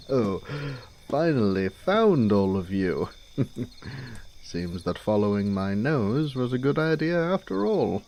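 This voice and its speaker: A man's voice: fairly low pitch, big pitch swings, takes it slowly, long pauses, darkish tone, a little hiss or hum, heavily acted. A man playing a suave young nobleman in a fantasy audio drama, airy, genteel and teasing. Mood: breezy, teasing.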